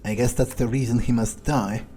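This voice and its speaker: A man role-playing a cocky, newly turned young vampire in a video game, musing casually and sardonically with hesitant pauses. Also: mid-range voice, fairly even intonation, heavy background noise, natural voice. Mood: sardonic musing. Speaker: gamer role-playing his character. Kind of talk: in-character video game playthrough, improvised musing.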